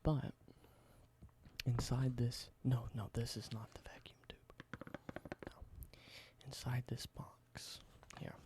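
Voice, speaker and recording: A man in a whispered ASMR roleplay, softly explaining everyday objects slowly and simply. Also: mid-range voice, little pitch movement. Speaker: ASMR creator.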